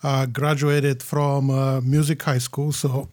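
A man in his forties from Bulgaria with a Bulgarian accent, a podcast guest telling his story in a reflective, halting way with many fillers. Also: medium pitch, level tone, darkish tone. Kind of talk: casual podcast interview.

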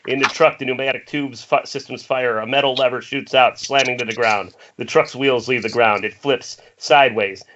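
Bright-voiced man narrating a screenplay. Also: mid-range voice. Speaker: reader of stage directions. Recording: dull lo-fi internet call.

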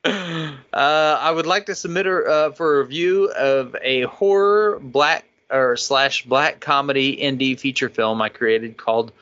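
Bright-toned man reading podcast emails. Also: mid-range voice, unhurried. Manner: laughs often while reading, loose and chatty. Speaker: podcast co-host reading listener feedback. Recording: dull lo-fi podcast audio.

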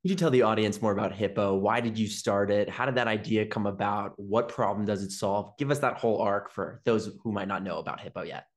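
A man hosting a podcast, reading a measured introduction and then asking his guest questions. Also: lowish voice. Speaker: podcast host. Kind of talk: scripted intro and interview questions. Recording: music playing under the intro.

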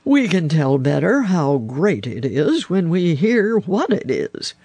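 A gruff old grandfather frog from a children's storybook, acted out by a reader. He is testy and impatient, with a husky, creaky edge to his words. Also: big pitch swings, unhurried, darkish tone, no echo, exaggerated voice. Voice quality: deep, gruff.

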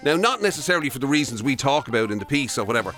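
A man's voice: medium pitch, big pitch swings, very fast, a bit bright, faint music behind. An Irish man chatting and teasing casually as a radio co-host.

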